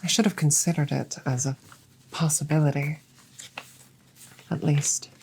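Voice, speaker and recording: A woman voicing an apologetic, self-blaming dragon girlfriend in a roleplay audio drama, speaking softly, tenderly and haltingly. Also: sits low, steady tone, creaky, noisy background. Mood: guilty, apologetic.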